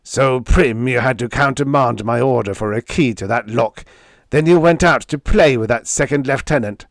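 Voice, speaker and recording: A man's voice: medium pitch, moderate pace, no echo, clean studio sound, voice pushed up, natural voice. A man reading as a hateful, vehement bully. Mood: ranting anger. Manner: grating vehemence, abusive ranting. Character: towering, hateful, domineering man, jealous bully.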